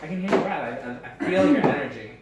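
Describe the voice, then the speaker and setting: A man's voice: a little high, lively intonation, quick pace. A man co-hosting a casual home book club video with his sister, joking crudely and swearing often. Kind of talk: casual sibling banter. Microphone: camera mic at a distance. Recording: echoey room, distant mic, faint noise.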